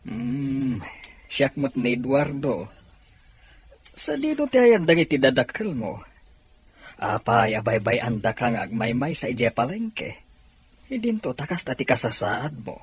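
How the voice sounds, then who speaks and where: A man playing a kind, fatherly gentleman with a gentle, reassuring manner in an audio drama. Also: mid-range voice, big pitch swings, measured pace, long pauses, breathy edge, full character voice.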